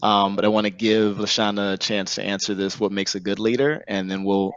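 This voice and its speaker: A man's voice: fairly low pitch, level tone, brisk, clear, resonant tone, no room sound, dull audio. A man moderating a panel discussion as host, with an encouraging, appreciative manner.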